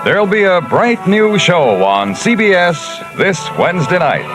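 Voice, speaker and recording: A man's voice: high voice, very animated, a little slow. A male announcer reading a network promo in an upbeat 1950s radio style. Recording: old radio transcription with music.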